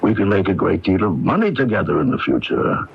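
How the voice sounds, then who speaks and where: An actor playing a suave, menacing mob fixer in a radio mystery drama, measured, formal and insinuating. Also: male voice, low voice, very animated, vocal fry, exaggerated voice. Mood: businesslike. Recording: dull 1980s AM radio recording, faint music.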